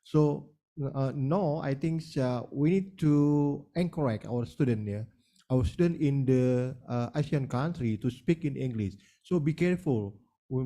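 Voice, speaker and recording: A man's voice: medium pitch, warm tone. A man giving feedback as a panelist in a webinar over a video call, hesitant, repeating words and adding many "yeah"s.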